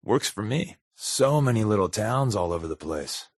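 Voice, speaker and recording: An American man playing a wisecracking, sly undercover agent in a video game, talking in casual quips with a deadpan, sardonic manner. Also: on the low side, normal speed, breathy edge, dry recording, studio quality. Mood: dry, playful. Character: wisecracking, sly adult male undercover agent, habitual fibber. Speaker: companion character in a video game.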